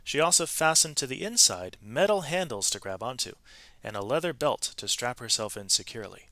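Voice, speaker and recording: A man reading a storybook aloud to students in an expressive, emphatic way, with brief explanatory asides to his listeners. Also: medium pitch, brisk, dry recording. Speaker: read-aloud narrator, teacher reading to students.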